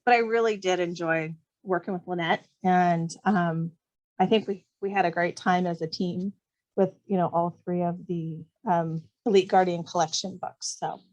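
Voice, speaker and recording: A woman's voice: on the low side, fairly even intonation, moderate pace, darkish tone, highs cut off. A woman speaking casually as a guest author in an interview.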